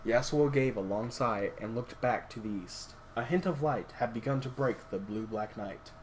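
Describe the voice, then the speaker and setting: A man's voice: mid-range voice, moderate pace, slight background noise, poor audio. A man reading a game story aloud, with hesitant restarts and wry side remarks. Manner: reads with hesitant restarts, stops to comment. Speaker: story reader.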